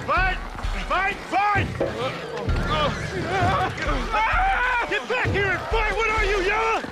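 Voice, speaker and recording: An actor playing a frantic army commander in a video game, shouting barked orders at his soldiers. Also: extremely high pitch, unhurried, loud music behind the voice. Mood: frantic, furious. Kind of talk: video game cutscene acting.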